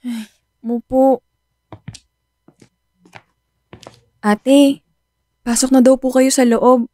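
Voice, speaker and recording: A woman's voice: high voice, little pitch movement, takes it slowly, dark tone, no room sound, clean studio sound. A woman playing a concerned, principled young working daughter in a radio drama, earnest and questioning. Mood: hesitant, concerned.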